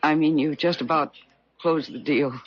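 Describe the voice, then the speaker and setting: A woman's voice: low-pitched, normal speed, husky. An actress playing a determined but conflicted young working woman in a 1970s radio drama, earnest, hesitant and dramatic. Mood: coaxing. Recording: muffled old radio drama.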